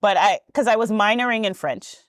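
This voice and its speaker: A woman's voice: mid-range voice, brisk, no echo, clean studio sound. A woman, a podcast guest telling her story in a chatty, rambling way with many ums and self-corrections. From Trinidad and Tobago. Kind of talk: casual storytelling interview.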